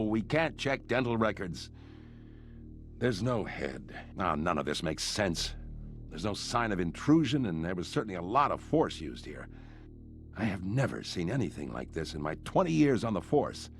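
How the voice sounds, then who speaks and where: A low-voiced middle-aged police inspector in a video game cutscene, with faint music underneath. He is weary but courteous, speaking in a formal, measured, apologetic way.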